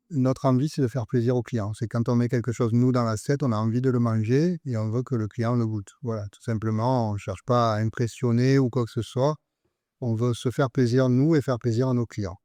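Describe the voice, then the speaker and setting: A man, the guest head chef, talking in a relaxed, hesitant way in casual chat. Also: mid-range voice, no room sound.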